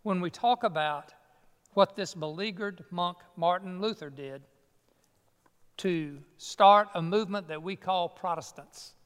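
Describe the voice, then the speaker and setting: A man pastor preaches in a measured, emphatic way. His voice is high and resonant. It carries with a long reverb through a church sanctuary. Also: fairly bright tone, clear, resonant tone. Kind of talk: sermon. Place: church sanctuary near Atlanta.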